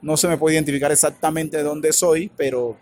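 A man with a Colombian Caribbean accent, answering interview questions in a halting, thoughtful way with many filler words. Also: a little high, steady tone, darkish tone, slight background noise. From Colombia's Caribbean region. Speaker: author and academic guest. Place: radio booth at a book fair stand.

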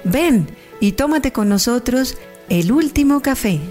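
A woman reading a recorded radio show opening with a smooth, slow promotional delivery. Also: fairly high pitch, lively intonation. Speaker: program intro announcer. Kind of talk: recorded radio show intro over music. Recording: intro voice with music bed.

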